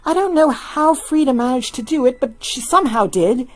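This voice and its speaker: A woman voicing a tired, sarcastic but caring young woman in a fan fiction reading, in a wry, animated way. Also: high voice, normal speed, voice pushed up. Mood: exasperated.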